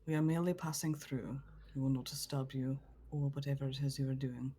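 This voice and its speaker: A man's voice: medium pitch, fairly even intonation, mellow, slight background noise, close to an everyday voice. Man playing a stiffly courteous servant of villains. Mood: reassuring, formal. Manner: stiff, courteous, measured formal phrasing.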